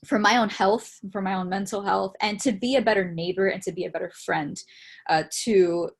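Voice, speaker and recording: A woman's voice: mid-range voice, steady tone, moderate pace, vocal fry. A young woman from Miami, a theologian and interview guest, talking in an earnest, reflective way with frequent fillers. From Miami, Florida, United States. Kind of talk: casual conversational interview.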